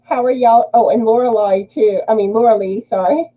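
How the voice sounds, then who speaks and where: A woman's voice: a little high, moderate pace, narrow, tinny audio, a crowd in the background. A woman with a Southern US accent hosting a casual livestream chat, laughing often as she greets viewers by name. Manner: laughs often while reading chat names. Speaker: livestream host.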